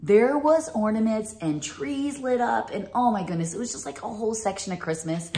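A woman's voice: on the high side, lively intonation, moderate pace, dark tone, husky. A woman in her fifties chatting to her phone camera in a home kitchen, gushing over decorations in a casual vlog. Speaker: vlogger. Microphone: phone held at arm's length.

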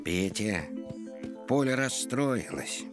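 A man voicing a big, slow, gloomy male animal in a children's cartoon, ponderous and mournful. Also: medium pitch, expressive. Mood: glum, resigned. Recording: music behind the voice.

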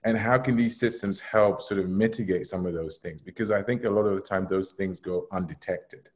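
A young man with a deep, low-pitched voice speaks over a video call from a bright home room. He talks fluently and earnestly, dropping in casual fillers as he goes. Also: fairly quick. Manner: fluent, lots of "you know" and "sort of". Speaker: webinar guest asking a question. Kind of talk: earnest discussion.

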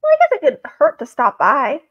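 A woman voicing a hesitant, apologetic young man with a halting delivery in a storybook reading. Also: high voice, wildly swooping pitch, highs cut off. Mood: shy, reluctant. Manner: halting, faltering.

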